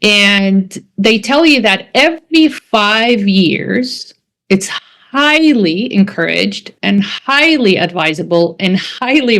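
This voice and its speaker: A middle-aged woman from Jordan, hosting a podcast interview in an unhurried, emphatic way that repeats key words. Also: medium pitch. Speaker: podcast host. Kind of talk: casual interview chat.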